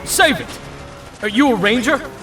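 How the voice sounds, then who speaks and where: A man's voice: very high-pitched, expressive, a little slow, pushed and bright, soft background music, heavily acted. A man playing a brash, impatient young hero in an audio drama, recorded with some echo. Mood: brash, suspicious.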